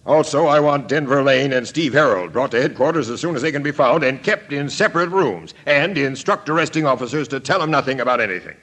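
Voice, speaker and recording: An actor playing a brisk, no-nonsense police captain giving orders and questions in a 1940s radio crime drama. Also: male voice, medium pitch, expressive, a bit bright. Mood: businesslike. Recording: faintly noisy old radio recording.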